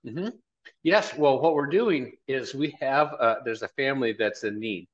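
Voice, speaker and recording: A man's voice: medium pitch, normal speed. A man in his fifties speaking earnestly as a guest in a casual video-call interview, often repeating his phrases. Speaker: interview guest, college marketing director and event organizer. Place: small indoor office, video call. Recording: dull, lo-fi call audio.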